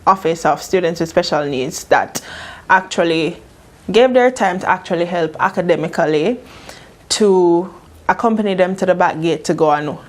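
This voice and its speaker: A woman's voice: lowish voice, normal speed. A young woman with a Jamaican accent speaking in articulate, formal wording as an interviewed student leader. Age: young adult. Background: faint background music.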